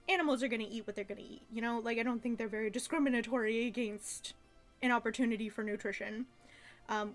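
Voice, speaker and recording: Woman, higher voice, casual reviewer commentary, rambling, gushing, emphatic, music in the background. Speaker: reviewer reacting to a childhood cartoon.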